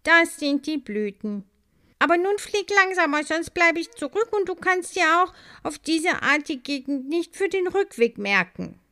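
A woman's voice: very high voice, animated, a little slow, sharp tone, voice pushed up, full character voice. A woman voicing a friendly female honey-carrier bee who guides a young bee, a little out of breath. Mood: breathless.

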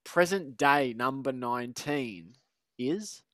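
A man's voice: mid-range voice, animated, takes it slowly, a bit bright, no room sound, studio quality. A man hosting a casual podcast chat, rambling and teasing his co-hosts with lots of laughter. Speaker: podcast host.

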